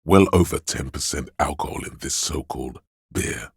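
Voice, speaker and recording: An extremely low, heavily rasping male voice plays the voice of addiction inside someone's head in a video game. He coaxes and indulges with slow, insinuating relish. The sound is dry and close. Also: no echo, exaggerated voice. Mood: savoring, seductive. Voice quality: gravelly, husky bass. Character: seductive inner voice of addiction, male, indulgent and coaxing. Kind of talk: dramatic video game narration.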